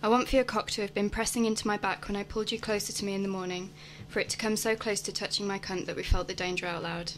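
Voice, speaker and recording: A woman's voice: mid-range voice, steady tone, fast talker, few pauses, lightly acted. An actress playing a frank, hungry woman in a radio play monologue, blunt and insistent with her hesitant lover. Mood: urgent desire.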